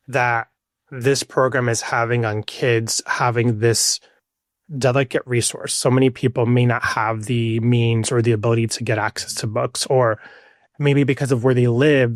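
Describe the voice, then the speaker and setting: A man's voice: medium pitch, no room sound, studio quality. A man hosting a conversational podcast, reflective and wordy as he frames his thoughts and questions. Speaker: podcast host.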